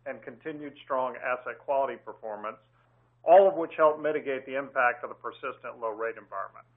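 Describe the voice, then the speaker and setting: Businesslike man over a tinny phone line. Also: mid-range voice. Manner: measured, businesslike. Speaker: bank chief executive. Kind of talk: earnings call remarks.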